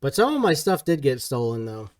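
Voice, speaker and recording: A man hosting a relaxed game stream, teasing his friends and greeting viewers from chat. His voice sits at an ordinary middle pitch. He sounds a little far from the mic. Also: fairly quick. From Ohio, USA. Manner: teasing, reads chat aloud. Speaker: streamer host. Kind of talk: casual gaming chat.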